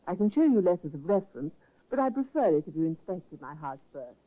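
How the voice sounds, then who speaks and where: A gracious, reassuring nurse. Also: female voice, on the low side, very animated, a little fast. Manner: smooth and reassuring. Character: composed, gracious woman nurse. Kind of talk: 1950s radio drama acting. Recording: tinny restored old radio broadcast.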